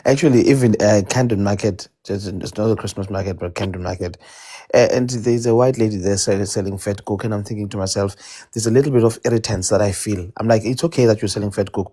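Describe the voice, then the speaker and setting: A man's voice: fairly low pitch, fairly quick, dark tone. A man telling a story in casual, rambling conversation, hesitating and restarting often. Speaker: debate participant.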